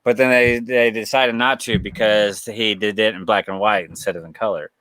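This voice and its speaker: Laid-back podcast dude, bright voice, dry close sound, laughs a lot, swears. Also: male voice, on the low side, fairly even intonation, normal speed, dry recording, low-quality recording. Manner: loose, laughing, profane, rambling. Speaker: podcast co-host. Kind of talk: casual chat.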